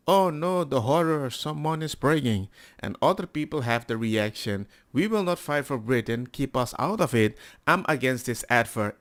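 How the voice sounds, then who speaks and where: A man hosting reaction commentary, talking with sarcasm and mocking asides. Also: medium pitch. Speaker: commentary channel host.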